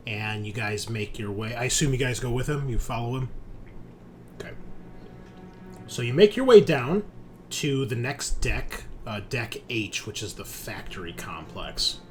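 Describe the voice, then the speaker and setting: A man narrating a tabletop roleplaying game as game master, informal and improvised, sometimes projecting and sometimes muttering. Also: mid-range voice. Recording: faint room noise.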